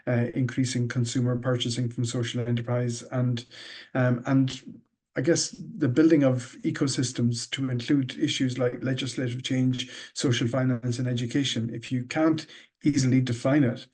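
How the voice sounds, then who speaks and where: A man's voice: mid-range voice, little pitch movement, moderate pace, darkish tone, breathy edge. A middle-aged man with an Irish accent, answering interview questions thoughtfully with many ums and uhs. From Ireland. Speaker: guest, managing director.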